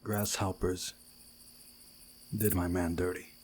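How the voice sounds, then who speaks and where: A man's voice: deep voice, little pitch movement, takes it slowly, darkish tone, a little hiss or hum. A man reading a visual novel aloud as a playthrough host, with casual asides.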